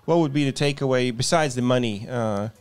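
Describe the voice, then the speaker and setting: A man's voice: medium pitch, fairly quick, a little mellow, clear, resonant tone. Latvian guy hosting a morning show, casual, laughy, lots of uhs. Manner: laughs often, many fillers and restarts. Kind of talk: casual chat.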